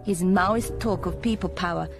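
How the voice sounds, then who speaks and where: An actress playing a cool, wry young secret agent, giving a measured briefing in a video game. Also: female voice, fairly low pitch, creaky. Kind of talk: video game cutscene acting. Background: music.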